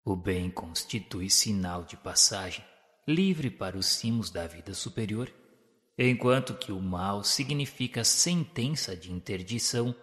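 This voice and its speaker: A man's voice: fairly low pitch, lively intonation, unhurried, fairly bright tone. A man with a Brazilian Portuguese accent giving formal, solemn, scripted literary narration for a radio drama. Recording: long reverb on the voice.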